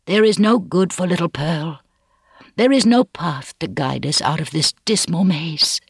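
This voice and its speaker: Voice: mid-range voice, animated, unhurried, gravelly, husky, dry recording, clean studio sound, pitched above the performer's own voice, exaggerated voice. A reader voicing an anguished but resolute, dignified woman with solemn, archaic, pleading speech in a storybook reading. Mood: firm, despairing.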